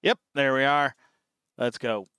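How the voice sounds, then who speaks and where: A man's voice: mid-range voice, steady tone, a little slow, sharp tone, clean tone, no echo, studio quality. A man casually hosting a reaction show, chatty and full of enthusiasm. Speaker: host of a fan reaction channel.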